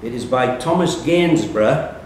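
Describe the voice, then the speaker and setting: A man's voice: expressive, moderate pace. A man acting as quiz reader, reading questions aloud to contestants at a live pub quiz. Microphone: distant room microphone. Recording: echoey room, distant mic, faint rumbling noise.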